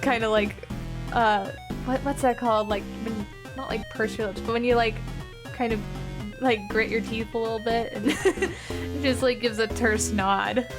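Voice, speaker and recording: High-voiced woman role-playing casually. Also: fairly even intonation, a little slow, over music. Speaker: player in tabletop role-playing podcast. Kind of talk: casual in-character role-play and chat.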